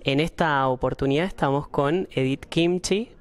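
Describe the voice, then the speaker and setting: Low-voiced woman hosting an interview. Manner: halting, with frequent fillers and restarts. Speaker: interview host.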